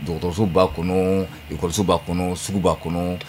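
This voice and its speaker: A man explaining a point as a guest on a radio panel, animated and mixing languages. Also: low voice, measured pace, slight background noise.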